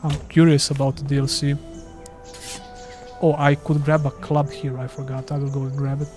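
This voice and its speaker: A man's voice: medium pitch, level tone, moderate pace, over music. A man from Serbia with a Serbian accent, a gaming streamer chatting casually and self-deprecatingly while playing live. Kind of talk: casual live gameplay commentary.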